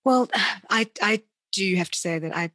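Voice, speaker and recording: A woman hosting a conversational interview, speaking deliberately and often rephrasing as she goes. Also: mid-range voice, bright, forceful tone, no room sound, clean studio sound. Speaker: interviewer and podcast host.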